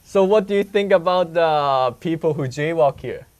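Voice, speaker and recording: A man's voice: fairly high pitch, normal speed, a little mellow, smooth. A young man from Hong Kong, an English speaker, telling candid stories as a student reporter in a chatty news segment. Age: young adult. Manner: simple non-native English, candid storytelling.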